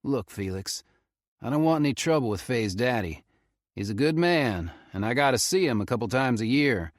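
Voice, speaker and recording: A man's voice: mid-range voice, expressive, normal speed, dry recording, clean studio sound, voice pushed up, natural voice. A man voicing a middle-aged male park ranger with a thick Southern drawl, folksy and casual. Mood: confiding.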